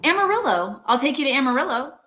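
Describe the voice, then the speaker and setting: A woman's voice: high-pitched, very animated, fast talker, a bit bright. A woman playing a haunted, anxious motorist in an amateur radio play, narrating her journey in an earnest, dramatic way. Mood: friendly, eager. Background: prominent music.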